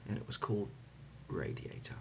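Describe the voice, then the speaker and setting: A man playing an absurd, deadpan dad in an ASMR comedy roleplay, speaking haltingly and gently, often dropping to a whisper. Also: close to an everyday voice. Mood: fond deadpan. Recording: dull lo-fi audio, loud background noise.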